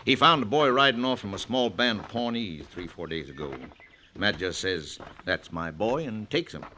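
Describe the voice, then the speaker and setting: An actor playing an easygoing, obedient ranch hand in an old-time radio western, talking in a folksy cowboy manner. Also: male voice, lowish voice, animated, moderate pace, sharp tone. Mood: confiding, deferential. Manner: folksy drawling cowboy talk. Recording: muffled, noisy vintage radio recording.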